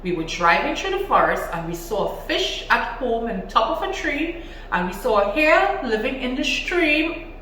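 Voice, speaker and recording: A woman's voice: a little high, sing-song, normal speed, sharp tone, pitched above the performer's own voice. Woman acting gossipy old Trinidadian wife, echoey. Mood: earnest. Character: foolish, gossipy, complaining old village wife. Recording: echoey room, distant mic, faint noise.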